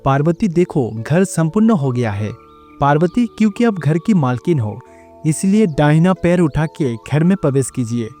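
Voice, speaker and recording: A man playing a calm, kindly god who lives as husband and father in a storybook tale. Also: fairly high pitch, normal speed, soft background music. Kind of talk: storybook character dialogue.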